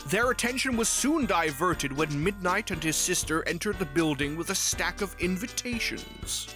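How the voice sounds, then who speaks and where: A woman's voice: lowish voice, expressive, normal speed, over music. A woman reading scripted storybook narration as the narrator.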